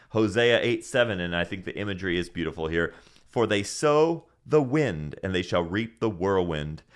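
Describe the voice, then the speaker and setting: A man who grew up in Senegal preaches on a Bible podcast. His voice sits a little low, and he reads scripture earnestly, pressing his points with rhetorical questions.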